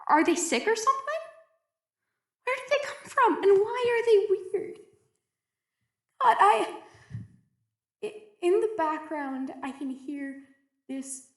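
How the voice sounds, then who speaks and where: Woman acting frightened, stammering, high-voiced isolated researcher. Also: takes it slowly, pauses often. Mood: panicked, then hushed dread. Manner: hesitant, stammering, anxious. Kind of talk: audio drama, recorded log monologue. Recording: echoey roomy recording.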